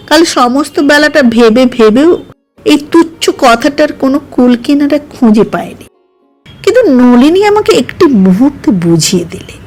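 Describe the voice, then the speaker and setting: A woman acts out a kindly older man from an audio story, fatherly and earnest. The voice is high and slow, patiently reassuring, with music behind it. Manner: gentle, patient explaining.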